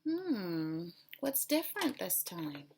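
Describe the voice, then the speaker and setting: A woman's voice: lowish voice, sing-song, takes it slowly, creaky, background noise. A woman elementary school teacher reading aloud and then patiently explaining a lesson to children step by step. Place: small room at home, darkened.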